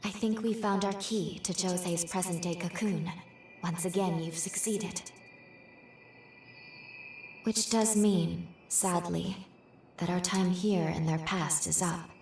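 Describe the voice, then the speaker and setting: A woman playing a gentle, earnest companion who explains things in a measured way in a video game cutscene. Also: fairly low pitch, fairly even intonation. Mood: regretful. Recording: distant, echoing, faint noise.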